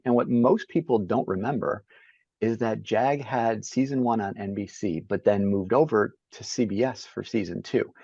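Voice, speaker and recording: A man hosting a podcast, asking measured, well-prepared interview questions. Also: lowish voice, a little mellow, husky, dry recording. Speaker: podcast host. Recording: dry, dull lo-fi sound.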